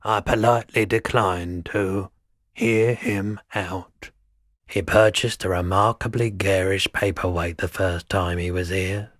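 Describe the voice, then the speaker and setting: An actor playing a laid-back, philosophical pawnbroker in a video game, speaking in a measured, deadpan, slightly mystical way. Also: male voice, sits low, no echo, clean studio sound. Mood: wry, detached. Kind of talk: scripted game dialogue.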